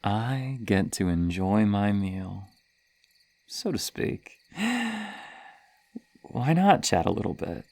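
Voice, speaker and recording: A man playing a gleeful, hesitant serial killer villain toying with prey in a horror roleplay, stammering and pausing dramatically between breathy sighs. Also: on the low side, animated, a little mellow, heavily acted. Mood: taunting, menacing. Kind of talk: ASMR horror roleplay monologue.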